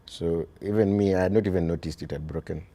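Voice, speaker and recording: A man with a Kenyan accent and a very deep voice, talking earnestly as an interview guest about raising his sons, often dropping "you see" and shifting into Swahili. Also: fairly even intonation, fast talker, mellow. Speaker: guest, father of boys. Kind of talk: reflective conversational interview.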